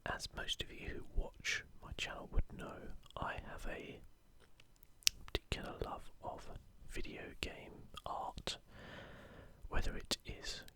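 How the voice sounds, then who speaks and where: An ASMR host speaking entirely in an unhurried, gentle whisper, presenting to viewers. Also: low-quality recording. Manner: whispering throughout. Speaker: video host.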